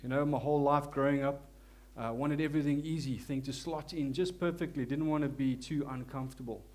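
A man with a South African accent preaching a church sermon, earnest and humble, with frequent restarts and softer, slower prayer passages. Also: mid-range voice, fairly even intonation. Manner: prays softly and slowly. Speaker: lay preacher.

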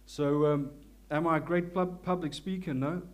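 A man's voice: fairly high pitch, steady tone. A man with a South African accent gives a sermon to a church congregation. He speaks earnestly and humbly, stopping and restarting often as he feels for his words. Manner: prays softly and slowly. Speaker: lay preacher.

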